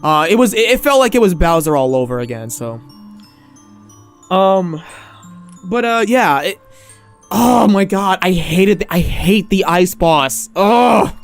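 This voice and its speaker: Man, podcast host, high pitch, hoarse, excitable, rambling, faint background music. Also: a little slow, pauses often. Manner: excitable, rambling, trips over words. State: losing his voice, slightly hoarse. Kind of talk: casual game review chat.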